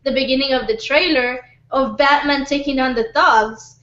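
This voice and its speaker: A woman with a high voice shares her opinion in a casual group discussion, often repeating herself as she finds her words. The sound is roomy and somewhat dull. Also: moderate pace, fairly bright tone. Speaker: discussion panelist.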